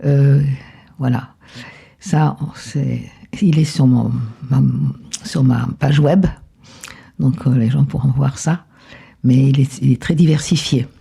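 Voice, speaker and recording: An older woman from Argentina, a guest in a relaxed interview, telling her life story in an unhurried, reflective way. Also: very deep voice, gravelly, husky.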